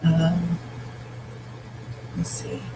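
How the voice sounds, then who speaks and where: A novelist with a raspy, scratchy voice talks through a story outline in a casual vlog, thinking aloud with pauses and restarts. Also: mid-range voice, completely flat delivery. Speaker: novelist outlining their book.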